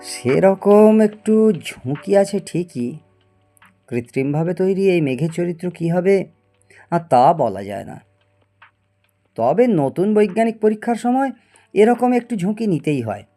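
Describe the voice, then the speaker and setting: A man's voice: high voice, very animated, unhurried, pauses often, warm tone, soft background music, heavily acted. A man acting a proud, obsessive middle-aged scientist in a Bengali audio story, theatrical and prone to stammer when agitated. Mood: boastful, assured. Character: proud obsessive male scientist, boastful and stubborn.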